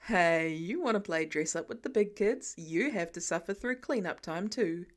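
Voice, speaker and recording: A woman voicing a sarcastic grown man, a superhero dad, in a dry, flat, deadpan way for an audiobook story. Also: fairly low pitch, moderate pace, voice pushed down, close to an everyday voice. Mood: teasing.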